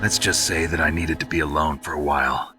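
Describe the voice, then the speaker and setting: An actor playing a gruff, weary war veteran in a video game, stoic and blunt, with a raspy, clipped, deadpan delivery. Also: male voice, very deep voice, fairly quick, soft background music. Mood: reflective. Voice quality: very raspy, gravelly, husky. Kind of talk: dramatic video game voice acting.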